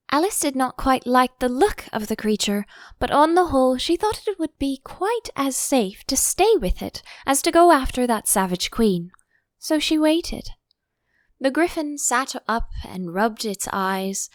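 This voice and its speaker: A woman reading an audiobook as an expressive storybook narrator, close to the microphone. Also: high-pitched, no room sound.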